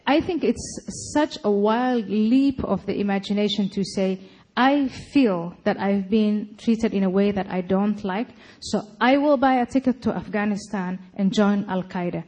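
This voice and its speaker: A woman giving a public talk, arguing her case forcefully. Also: mid-range voice, unhurried, hardly any pauses. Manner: forceful, argumentative. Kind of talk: lecture and debate answering.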